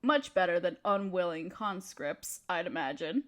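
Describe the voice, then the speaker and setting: A woman's voice: fairly low pitch, animated, moderate pace, a bit bright. A woman playing a haughty, scheming evil queen in an audio drama, speaking in an imperious, theatrical, condescending way. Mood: gloating, conniving. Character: scheming evil queen, haughty tyrant gloating to her advisor. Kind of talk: scripted roleplay audio drama monologue.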